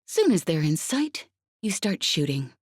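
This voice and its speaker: A woman's voice: lowish voice, very animated, measured pace, a little mellow, husky, dry recording, studio quality, exaggerated voice. A woman voicing a brash, cheerful, cocky female starship pilot who drawls and taunts in an audiobook. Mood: brisk, bossy. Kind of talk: audiobook character acting.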